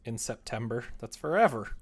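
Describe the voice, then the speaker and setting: A man's voice: medium pitch, expressive, moderate pace, slightly rough. A young man chatting casually on a livestream, thinking aloud in a self-deprecating, muttering way as he works. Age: young adult. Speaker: streamer writing a visual novel. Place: small room indoors at a computer.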